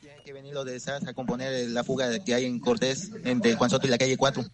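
Flat-voiced man with crowd noise. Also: medium pitch, a little fast. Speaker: caller.

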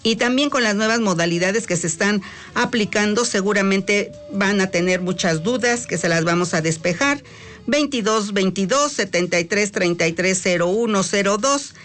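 A woman with a Mexican accent hosting a live talk radio show. She chats freely, stretches some words and fills pauses with "eh". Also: mid-range voice, fairly bright tone, soft background music. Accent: Mexican Spanish. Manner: chatty, drawn-out words, frequent "eh" fillers. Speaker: radio host.

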